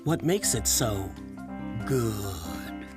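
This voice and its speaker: A man's voice: fairly low pitch, very animated, extremely slow, heavily acted. A man voicing a helpful, slightly put-out computer assistant in a children's show, speaking in a formal announcer-like way. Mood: matter-of-fact. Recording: music playing underneath.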